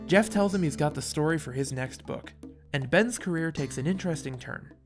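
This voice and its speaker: A man's voice: on the high side, a little fast. A man narrating a scripted video essay in a wry, casual storytelling manner, with dramatic touches. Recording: music playing behind voice.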